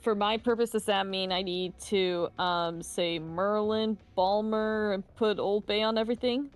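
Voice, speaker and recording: A woman in a casual stream chat, talking in an unhurried, dry way. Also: mid-range voice, fairly even intonation, smooth. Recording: faint background music.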